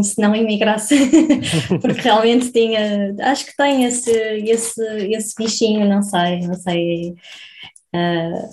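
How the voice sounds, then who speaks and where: A woman's voice: mid-range voice, a little mellow. A woman with a European Portuguese accent hosting an interview, chatty and laughing easily, often checking in with the guest. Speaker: podcast host. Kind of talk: conversational interview.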